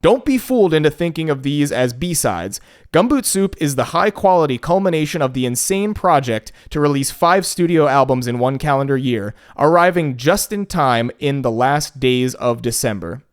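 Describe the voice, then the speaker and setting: Male co-host, fairly high and smooth, loose joking delivery with lots of fillers. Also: expressive, moderate pace. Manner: rambling, joking, frequent fillers. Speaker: podcast co-host. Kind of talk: casual podcast chat.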